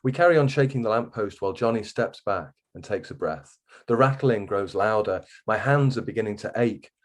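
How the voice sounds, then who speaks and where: A man reading a first-person story aloud as a guest author, in an expressive literary narration style. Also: on the low side, moderate pace.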